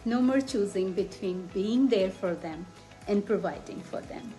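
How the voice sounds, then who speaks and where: A woman from India giving a heartfelt, measured testimonial about family. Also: medium pitch, dark tone, soft background music, rough recording. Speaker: former daycare teacher sharing a testimonial. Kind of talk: emotional personal testimonial.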